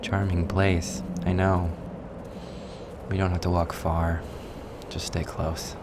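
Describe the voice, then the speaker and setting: A man playing a snarky, weary, well-spoken demon guide in an intimate audio roleplay, with a husky, gravelly voice and a dry, wordy manner. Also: deep voice, level tone, very noisy. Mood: wry, patient. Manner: sarcastic, wordy, dry, deliberate. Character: snarky, weary, erudite male demon guide trapped in hell.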